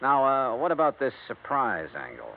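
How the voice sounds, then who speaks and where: An actor playing a blunt, hardboiled insurance investigator in a 1950s radio drama, asking brisk, dry questions. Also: male voice, mid-range voice, wildly swooping pitch, gravelly. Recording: tinny lo-fi 1950s radio broadcast with faint hiss.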